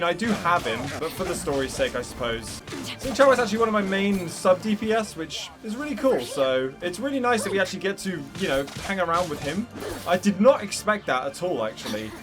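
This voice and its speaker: A man chatting casually over his gameplay, dropping asides and muttering reactions. His voice is lively and moves a lot in pitch, with game music clearly playing underneath. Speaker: gaming YouTuber. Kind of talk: casual let's-play commentary.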